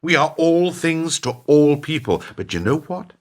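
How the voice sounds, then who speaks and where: A man's voice: a little high, very animated, a little slow. A man acting in a radio play as a cynical, scheming businessman, scornful and unrepentant, with a theatrical, pointed delivery. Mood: bitter.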